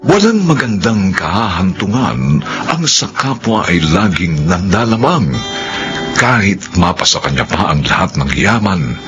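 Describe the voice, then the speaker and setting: A man's voice: low-pitched, big pitch swings, measured pace. A man narrating and announcing a Filipino radio drama in a dramatic scripted style. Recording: loud music under radio narration.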